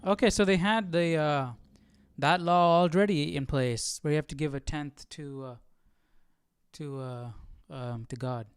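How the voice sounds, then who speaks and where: A man hosting a livestream with casual reaction commentary, haltingly thinking aloud. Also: fairly high pitch, fairly even intonation, clear, resonant tone, no room sound, studio quality. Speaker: livestream host.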